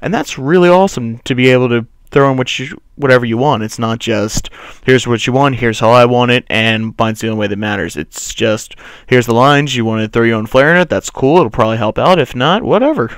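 A man's voice: medium pitch, brisk, clear, resonant tone, no echo. A young man, a voice actor, telling rambling casual anecdotes in an interview. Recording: music bed underneath.

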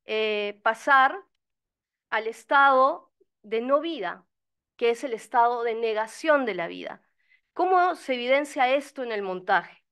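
A woman speaks as a researcher at an academic panel, explaining an analysis step by step. Her voice is fairly high and very clean and smooth. She goes a little haltingly, correcting herself and adding small check questions. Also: fairly bright tone. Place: indoor conference room, seated at panel table.

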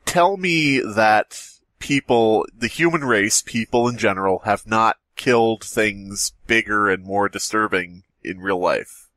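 Low-voiced man in casual podcast banter. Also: a little slow, few pauses, fairly bright tone, dry recording. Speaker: podcast host. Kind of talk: casual comedic discussion. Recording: music behind the voice.

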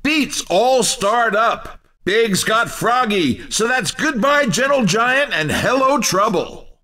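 A man voicing an excitable, cheeky race commentator in a video game, with exclamatory, hammy and playful announcer delivery in short clips. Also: very high voice, takes it slowly, distant mic, exaggerated voice. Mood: excited. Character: excitable, cheeky male arcade racing commentator.

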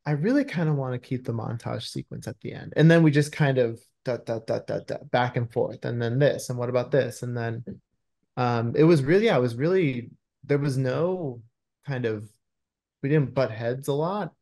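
Mid-pitched man in conversation. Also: moderate pace, creaky, a little mellow. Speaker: screenwriter, interview guest. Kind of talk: conversational interview answers. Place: indoor room, video call. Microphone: video call microphone.

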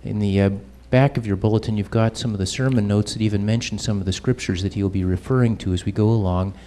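A middle-aged man, a pastor, introducing a guest speaker in a church hall, unhurried and pausing with fillers, holding a handheld mic. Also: on the low side, steady tone, dark tone, a little hiss or hum.

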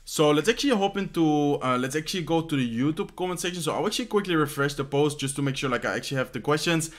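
Young Dutch-accented man, slightly high voice, relaxed rambling Q&A host, second-language English, home desk mic. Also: fast talker. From Netherlands. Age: young adult. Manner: rambling, many "like" fillers and "how you say this" searches. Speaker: esports player answering fan questions. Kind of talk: casual Q&A chat. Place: bedroom at home, indoors.

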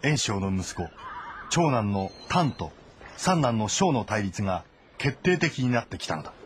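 Low-voiced male narrator, terse and measured. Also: very animated, a little hiss or hum. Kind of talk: dramatic scripted narration of a historical novel. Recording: voice over background music.

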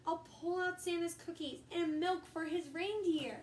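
Young girl reading a kids book for a vlog, high voice, echoey room. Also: steady tone, moderate pace. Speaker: host and storybook reader. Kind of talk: casual vlog and read-aloud storytelling. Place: echoey room, some distance from mic. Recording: roomy echo, faint background noise.

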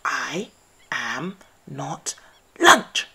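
A woman voicing a grumpy, easily exasperated little male mouse who grumbles and squeaks in a children's storybook. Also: wildly swooping pitch, drawn-out words, vocal fry, pushed and bright, husky, heavily acted. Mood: flustered. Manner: grumbling, spluttering, squeaky when upset.

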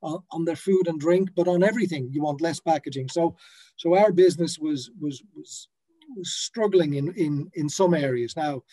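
A man's voice: fairly high pitch, normal speed, mellow. A man in his fifties who grew up in the Netherlands, a brewery founder talking on a video call from his office, hesitant, with many fillers and restarts. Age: 50s. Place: office with a brand banner behind. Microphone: laptop webcam on a video call.

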